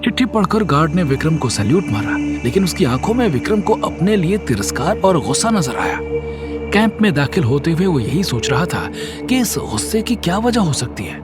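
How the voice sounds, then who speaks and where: A man's voice: on the high side, very animated, fairly quick. A man narrating a scripted audio drama series in a dramatic storytelling style. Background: music.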